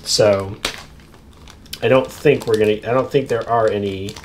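A man's voice: fairly low pitch, fairly even intonation, a little slow. A man hosting an unboxing video with casual, chatty commentary and easygoing asides to viewers. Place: indoors at a tabletop. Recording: clear background noise, crinkling packaging. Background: crinkling plastic bags, clicks.

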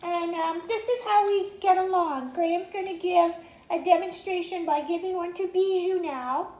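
Woman voicing a squeaky chatty pet dog. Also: fairly even intonation, normal speed, a little mellow, roomy sound, rough recording. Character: chatty young female pet dog, cheerful, food-loving beggar. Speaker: pretend news host. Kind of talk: pet vlog voiced as the dog. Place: home kitchen.

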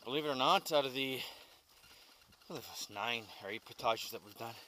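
A man's voice: mid-range voice, moderate pace. Man vlogging outdoors over wind noise. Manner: relaxed, rambling with many fillers and restarts. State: walking while carrying a pack. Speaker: canoe trip vlogger. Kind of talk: casual outdoor vlog narration. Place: outdoors on a windy lakeshore and forest trail. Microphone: action camera held or worn. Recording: wind noise on action camera.

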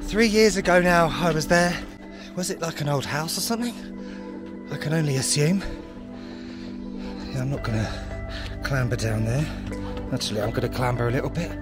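A man in his thirties, a travel vlogger talking to a handheld camera outdoors on town streets, chatty and excitable with playful asides. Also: a little high, normal speed, pauses often, loud music behind the voice. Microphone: handheld camera at arm's length.

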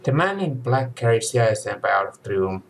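Lively young man reading a game aloud. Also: medium pitch, brisk, creaky, a little hiss or hum, rough recording. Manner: expressive, occasional stumbles while reading. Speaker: let's play streamer and fan dubber. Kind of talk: reading visual novel narration and dialogue aloud. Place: indoor room, game music behind.

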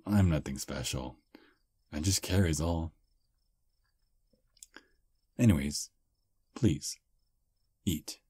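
A man voicing a charming, polite male vampire on a first date, speaking softly and flatteringly in an ASMR roleplay. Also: extremely low pitch, slow pace, long pauses, breathy edge, dry recording, clean studio sound, full character voice. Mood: smooth flirting. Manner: soft, flattering, gentlemanly. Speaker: voice actor.

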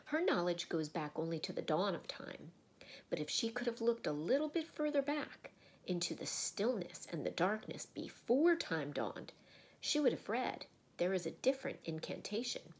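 A woman voicing a great, kindly male lion in a storybook, speaking in a solemn, measured, declaiming way. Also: medium pitch, big pitch swings, slightly rough. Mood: solemn, triumphant.

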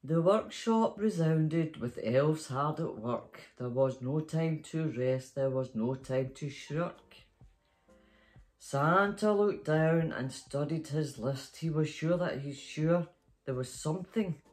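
A woman's voice: low voice, takes it slowly, darkish tone, faint music behind. A middle-aged or older Scottish woman, a grandmother, chatting playfully and reading a story to children in a bedroom. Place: small bedroom, indoors.

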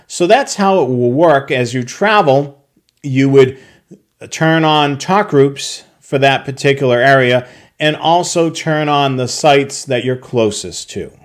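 Man, tutorial voice-over, step-by-step, mid-range pitch, occasional fillers. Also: measured pace. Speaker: product tutorial presenter. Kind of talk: explanatory voice-over over slides.

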